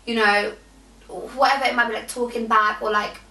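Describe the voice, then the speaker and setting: British-accented young woman, bright, echoey room. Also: fairly high pitch, fairly even intonation, moderate pace, distant mic. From Nepal. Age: young adult. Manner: rambling, many fillers and restarts. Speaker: podcast co-host. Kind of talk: casual chat.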